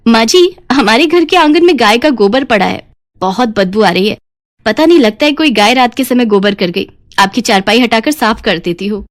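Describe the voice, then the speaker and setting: A woman voicing a sensible, patient young daughter-in-law in a cartoon story, polite and gently remonstrating. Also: on the high side, animated, normal speed, close to an everyday voice. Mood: resigned.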